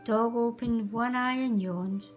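A woman reading a children's storybook aloud as an animated narrator, with music behind her. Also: on the high side, slow pace. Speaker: storybook reader.

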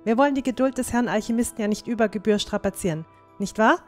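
A woman's voice: fairly high pitch, fast, darkish tone, faint music behind, lightly acted. A woman playing a genteel, curious young traveller in a fan-made fantasy audio drama. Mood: flustered.

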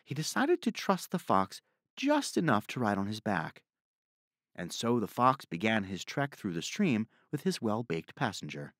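A man's voice: fairly low pitch, huge pitch swings, moderate pace, fairly bright tone, no room sound, clean studio sound. A man reading a children's storybook aloud as the storyteller.

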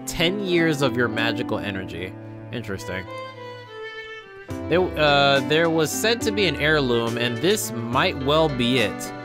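A man's voice: medium pitch, sing-song, a little slow. A young adult man reading visual novel text aloud as a gaming host, stumbling now and then and adding casual reactions. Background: game music.

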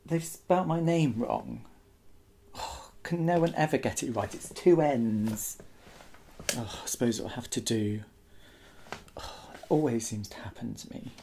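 A man in his thirties doing a soft-spoken ASMR roleplay as a rude, bitter actor, muttering in a world-weary, grumbling way. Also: mid-range voice, a little slow, vocal fry, long pauses, slight background noise. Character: rude, bitter male actor signing autographs. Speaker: roleplay performer. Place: small indoor set at a desk.

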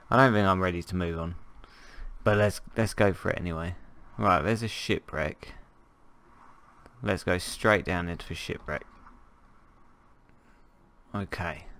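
A man with a croaky voice from a cold, chatting casually and jokingly as he plays and narrates a survival game. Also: sits low, fairly bright tone. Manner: rambling, jokey, thinking aloud. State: hoarse from a cold. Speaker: gameplay commentator. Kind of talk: casual gameplay commentary.

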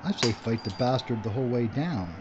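Radio horror casting: actor as blunt, doomed filmmaker guy, medium pitch, wry, noisy background. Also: expressive, brisk, lo-fi sound, natural voice. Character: Ike, wry, fatalistic male filmmaker making last stand. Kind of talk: radio horror drama acting.

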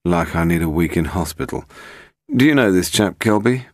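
A man's voice: low-pitched, expressive, normal speed, no echo, clean studio sound. A man voicing a curt, sardonic, old-school male police detective in an audiobook, with a blunt manner. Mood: brusque. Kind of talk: audiobook character voice.

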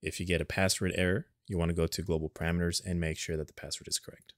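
A man narrating an unscripted step-by-step software tutorial in a plain, instructional manner, recorded as a screen voice-over. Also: sits low, level tone, racing, no room sound, clean studio sound.

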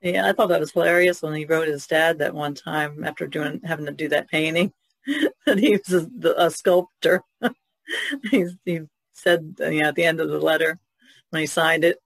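A man's voice: on the high side, steady tone, normal speed, creaky, fairly bright tone, no echo. A man chatting casually as a panelist on a podcast. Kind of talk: casual chat.

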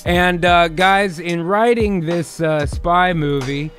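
Man, fairly high voice. Easygoing, profane co-host chatting casually on a stream with music behind. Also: steady tone, slow pace, fairly bright tone. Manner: easygoing, profane, often says man and dude. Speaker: co-host of improv movie-writing show. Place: home room on a group video call. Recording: music playing under the voice.

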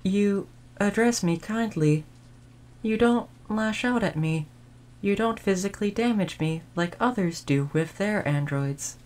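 Woman voicing a female android servant for audio roleplay; courteous, precise wording, caring temper, mid-pitched. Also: a little mellow, lightly acted. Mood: earnest, reflective. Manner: formal, polite, measured phrasing. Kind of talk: audio roleplay addressed to the listener.

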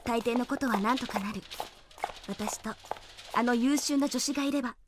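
A woman's voice: a little high, moderate pace, noisy background, natural voice. An actress playing a confident, reassuring female doctor-scientist in an anime audio drama, friendly, assured and casual.

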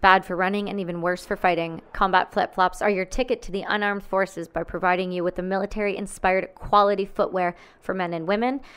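A woman reading scripted sponsor ads with a brisk, punchy delivery. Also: mid-range voice, fairly even intonation, fairly bright tone, clean tone, dry recording. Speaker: ad reader.

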